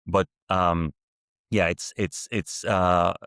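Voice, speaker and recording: A man in his forties from Mexico City with a Mexican Spanish accent, a non-native English speaker, answering interview questions in a halting way with many restarts. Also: low-pitched, steady tone, fairly bright tone, clear, resonant tone, dry recording, clean studio sound. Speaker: guest founder. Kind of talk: conversational interview.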